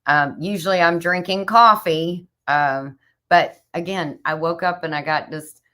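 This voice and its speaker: A middle-aged woman, a little raspy this morning, chatting casually as a livestream host and greeting viewers by name. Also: on the low side, unhurried, sharp tone. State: slightly raspy from a chill.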